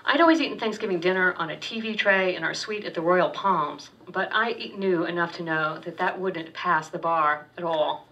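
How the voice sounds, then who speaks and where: A woman author reads her fiction aloud with a steady, wry delivery and the odd stumble. Her voice is bright and slightly low, captured far from the mic on a dull, lo-fi recording. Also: normal speed, few pauses. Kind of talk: literary reading of first-person fiction. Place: public reading at a university poetry center.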